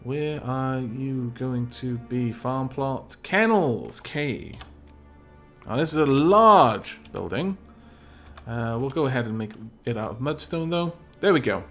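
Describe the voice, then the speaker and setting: Man musing over a game, lively pitch. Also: slow. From Wales. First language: Welsh. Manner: rambling, thinking aloud, dry asides. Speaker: let's play streamer. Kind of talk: casual gameplay commentary. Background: faint background music.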